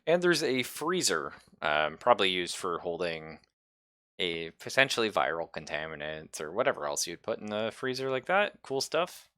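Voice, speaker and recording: A man running a tabletop roleplaying game, improvising his descriptions haltingly as he thinks aloud. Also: deep voice, very animated, sharp tone, dry recording, clean studio sound. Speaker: game master.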